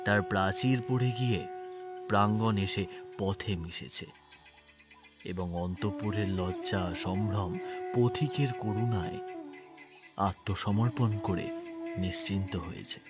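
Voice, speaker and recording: Deep-voiced Bengali male storyteller. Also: slow pace, pauses often. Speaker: narrator. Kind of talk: scripted literary story narration. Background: music.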